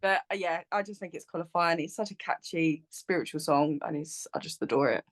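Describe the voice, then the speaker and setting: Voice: mid-range voice, brisk, a bit bright. Gushing panelist, exaggerated talk. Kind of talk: casual panel chat.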